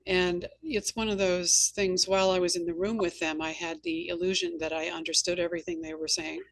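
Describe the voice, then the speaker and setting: A woman's voice: lowish voice, level tone, brisk, no room sound, poor audio. A woman hosting a conversational interview, chatting and sharing anecdotes. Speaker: interview host and novelist.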